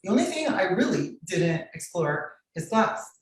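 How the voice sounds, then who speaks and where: A woman from Miami telling her own story as a guest artist in an interview, deliberately paced in her delivery. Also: lowish voice, sing-song, slight background noise. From Miami, Florida. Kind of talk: personal interview narrative. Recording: very echoey room, distant from microphone.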